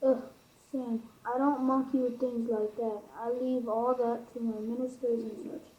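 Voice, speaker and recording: A chummy, homely King with Yorkshire dialect acted by a teenage girl in a student radio drama. The voice sits somewhat high. The amateur recording sounds dull and muffled. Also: flat delivery, a little slow, close to an everyday voice. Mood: folksy, regal. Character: folksy, chummy male King speaking broad Yorkshire.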